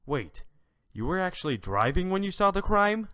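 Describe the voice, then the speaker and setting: Man acting an animated, formal courtroom judge. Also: high-pitched, normal speed, exaggerated voice. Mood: puzzled, formal. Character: formal, slightly puzzled male judge. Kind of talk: amateur audio drama courtroom acting. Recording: loud music behind the voice.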